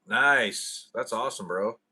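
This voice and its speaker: A man's voice: mid-range voice, slow pace, sharp tone, slightly rough. A man hosting a casual livestream, chatting with viewers in a laid-back, slangy way. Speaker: livestream host.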